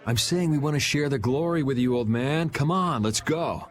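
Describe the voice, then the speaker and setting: A man's voice: mid-range voice, moderate pace, close to an everyday voice. Man as a cool, wry young hero. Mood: dryly teasing. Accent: American. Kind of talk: anime video game dub acting.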